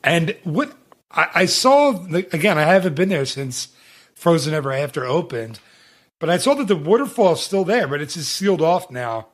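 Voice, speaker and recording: A man's voice: on the high side, very animated, moderate pace. A man hosting a podcast in casual chat, talking in a chatty, rambling way with many restarts. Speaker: podcast host.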